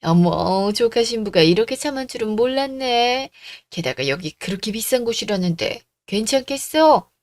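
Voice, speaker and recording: A woman's voice: fairly high pitch, expressive, normal speed, dry recording. A woman doing a gushing, nosy older aunt. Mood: curious. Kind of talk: Korean radio story drama.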